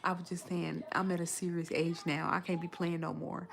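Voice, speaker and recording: A woman in her thirties with an American accent and a lowish, gravelly voice talks casually to a livestream. She is slangy and emphatic, telling things in an animated, repetitive way, with faint noise around her. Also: fairly quick. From Chicago, United States. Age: 30s. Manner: slangy, swearing, emphatic, repeats phrases for emphasis. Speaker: live streamer.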